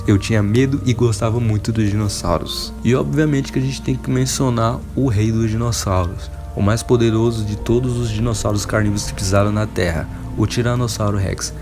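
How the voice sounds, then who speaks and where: A Brazilian man hosting a casual enthusiast video, talking in an emphatic, informal, wonderstruck way. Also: medium pitch. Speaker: video host.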